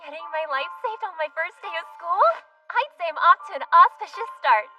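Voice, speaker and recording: A woman voicing a spirited, reckless teenage samurai schoolgirl in an animated fantasy, earnest and cheerful. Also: very high voice, brisk, distant mic, highs cut off, soft background music. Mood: sheepish, upbeat. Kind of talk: animated fantasy dub acting.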